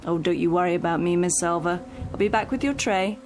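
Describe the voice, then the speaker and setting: Kindly woman caretaker acted, creaky, cheerful, coaxing, radio drama, faint music. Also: on the low side, fairly quick, close to an everyday voice. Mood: reassuring. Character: brisk, kindly female home helper, reassuring.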